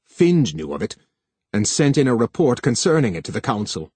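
A man reading an audiobook voices a desperate, angry young male technician, bitter and defensive, with a husky voice. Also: mid-range voice, sing-song, moderate pace, darkish tone, no echo.

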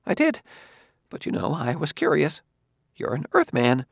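Male narrator putting on a fussy, squeamish older scholar; high, wavering, gravelly edges, dry close sound. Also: huge pitch swings, moderate pace, dry recording, full character voice. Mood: jerky eager. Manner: high, shrinking, hesitant delivery. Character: nervous aging male sociologist, fussy and squeamish.

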